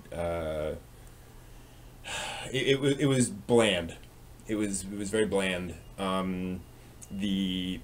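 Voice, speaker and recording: A man hosting a live stream in a casual, chatty way, with a halting, hesitant delivery that tends to trail off. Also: medium pitch, a little mellow.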